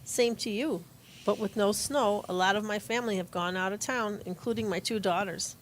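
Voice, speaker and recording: A woman's voice: medium pitch, moderate pace, lightly acted, poor audio. Woman playing an easygoing, dry teacher aunt, faint noise. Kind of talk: amateur audio play acting.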